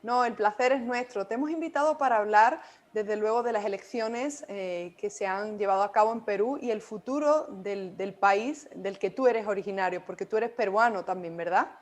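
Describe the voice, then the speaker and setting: Female interview host, average pitch, introducing and questioning a guest on current affairs. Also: normal speed, hardly any pauses.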